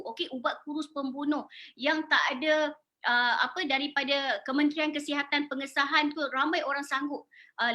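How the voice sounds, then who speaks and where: High, bright-voiced Malaysian saleswoman. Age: 40s. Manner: emphatic, repetitive, addresses listeners with frequent questions and check-ins. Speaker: product presenter and sales promoter. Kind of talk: informal live sales presentation.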